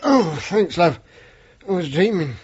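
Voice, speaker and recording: An actor playing an honest, deadpan working-class chauffeur in his sixties with a London accent in a British radio drama. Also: high voice, measured pace, breathy edge, exaggerated voice. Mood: kindly, concerned. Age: 60s. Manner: plain-spoken, deferential, deadpan. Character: honest, dryly cheeky, kindly working-class older chauffeur. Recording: dull, band-limited radio recording.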